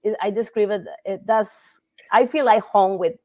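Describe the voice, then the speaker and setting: A woman's voice: medium pitch, steady tone, moderate pace. Costa Rican woman, word-searching teacher. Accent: Costa Rican Spanish. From Costa Rica. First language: Spanish. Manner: warm, searches for words, frequent fillers. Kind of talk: casual interview chat.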